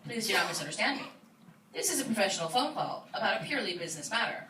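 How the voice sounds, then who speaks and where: A woman's voice: medium pitch, animated, very fast, sharp tone. Officious, flustered woman leader, acted by a woman, comic live reading, distant mic, faint noise. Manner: officious, formal. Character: stiff, self-important, flustered woman in charge. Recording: roomy, distant old iPod recording, faint noise.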